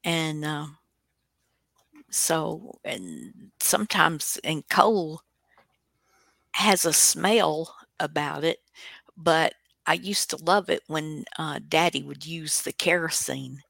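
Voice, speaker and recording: A woman's voice: low-pitched, animated, slow pace, creaky, fairly bright tone, no echo. A woman hosting a radio show, chatting casually in a rambling, hesitant way. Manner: rambling, hesitant, reminiscing delivery. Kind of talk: casual chat.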